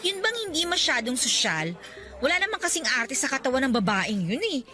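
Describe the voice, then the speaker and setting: A high, bright young woman who chats frankly and teases her friend. A soft music bed runs under her voice in this radio drama. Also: sing-song, fairly quick, exaggerated voice. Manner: chatty, frank, teasing.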